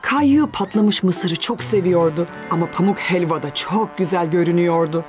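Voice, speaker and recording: A woman's voice: on the low side, normal speed, close to an everyday voice. A woman reading scripted narration for a children's cartoon. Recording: music under the voice.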